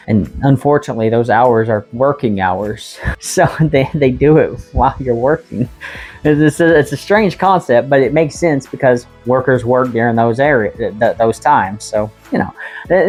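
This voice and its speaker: A woman's voice: a bass voice, darkish tone, husky. A young American woman talking frankly in a casual vlog, chatty and laughing often. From United States. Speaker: vlogger.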